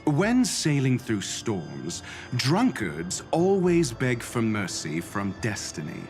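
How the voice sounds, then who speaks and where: A man playing a stern, scornful scholar in a video game, with a haughty, deliberate delivery. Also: medium pitch, wildly swooping pitch, darkish tone, faint music behind. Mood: cold scorn. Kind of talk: dramatic video game voice acting.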